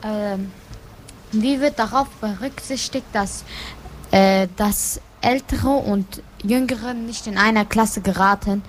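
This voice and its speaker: A woman interviewer reading out her questions carefully and haltingly. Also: medium pitch, slight background noise, low-quality recording.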